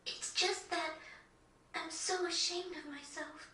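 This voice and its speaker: A woman playing a gentle, earnest teenage village girl, hesitant and self-doubting, in a video game cutscene. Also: extremely high pitch, steady tone, slow pace, full character voice. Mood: ashamed. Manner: soft-hearted, hesitant, sincere. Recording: echoey, distant game audio.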